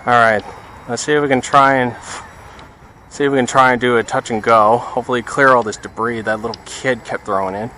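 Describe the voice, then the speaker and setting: A man's voice: mid-range voice, fairly even intonation, brisk, bright, forceful tone, a little hiss or hum, rough recording. A man giving casual running commentary outdoors as he pilots a radio-controlled plane, talking to himself in short remarks. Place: outdoors by water.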